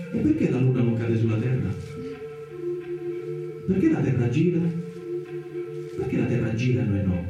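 An actor playing a curious, sad little child in a radio play, asking insistent, repetitive questions. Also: male voice, mid-range voice, close to an everyday voice. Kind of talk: theatrical radio monologue. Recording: distant, very echoey radio theatre with music.

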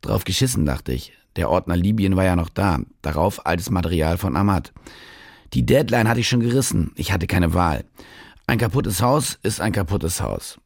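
Low, gravelly, cynical war reporter. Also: male voice, expressive, normal speed, dry recording, studio quality, heavily acted. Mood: dry, confessional. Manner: sardonic, laconic, crude slang. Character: cynical, jaded male war reporter confessing his fraud. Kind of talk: first-person radio drama narration. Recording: music behind the voice.

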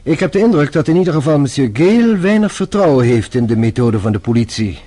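A patient French police inspector in a radio drama. He speaks in a warm, husky voice, formal and measured, always politely probing. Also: on the high side, big pitch swings. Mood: courteous, measured. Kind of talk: radio play acting.

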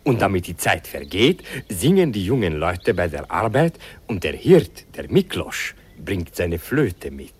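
Old country doctor, radio drama: low, husky, very animated intonation, patient and kindly. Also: male voice, unhurried, hardly any pauses, full character voice. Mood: kindly, explaining. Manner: patient, explanatory. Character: kindly old Hungarian village doctor explaining local customs. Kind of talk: 1950s radio play acting.

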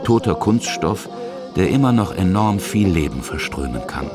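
A man's voice: sits low, animated, moderate pace. A man narrating a scripted radio feature. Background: music.

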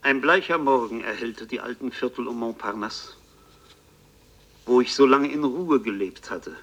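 A man's voice: mid-range voice, fairly bright tone, dull audio. Haunted Parisian bookseller telling his tale. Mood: haunted, agitated. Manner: literary, deliberate, intense. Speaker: actor narrating a radio play. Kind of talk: dramatic first-person radio play narration.

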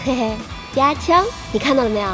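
A woman's voice: high voice, expressive, brisk. A woman playing a playful, cheeky young gunner in a Chinese audio drama about online gaming. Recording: loud music bed under the voice.

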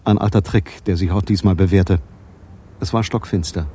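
An actor playing a hard-boiled private detective, narrating a crime radio drama in a terse, matter-of-fact way with a husky voice. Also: male voice, low-pitched, fairly even intonation, moderate pace, noisy background. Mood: grim, terse. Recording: dry 1980s studio radio drama.

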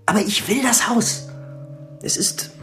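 An actor playing a determined, stubborn young heir, a grandson, in a radio drama. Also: male voice, high-pitched, a little slow, a bit bright, soft background music. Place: studio.

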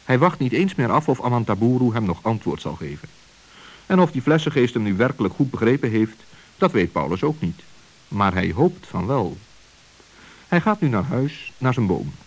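A man narrating a children's radio play with expressive, storybook delivery. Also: medium pitch, normal speed, slightly rough, rough recording.

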